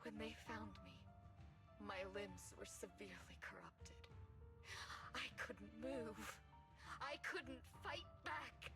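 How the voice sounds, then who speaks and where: An actress playing a bitter, mocking young female cyborg ex-soldier in a video game, taunting with breathy whispered asides. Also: a little high, wildly swooping pitch, slow pace, exaggerated voice. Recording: game cutscene with music behind.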